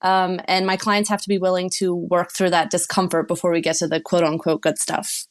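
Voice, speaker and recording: A woman's voice: lowish voice, little pitch movement, a little fast. A woman guest on a podcast, a relationship coach talking about her work in an upbeat, candid way. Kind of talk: casual interview.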